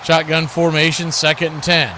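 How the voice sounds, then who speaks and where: High, bright-voiced man calling live football. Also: flat delivery, normal speed, slight background noise. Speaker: play-by-play sports announcer. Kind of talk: live football play-by-play commentary.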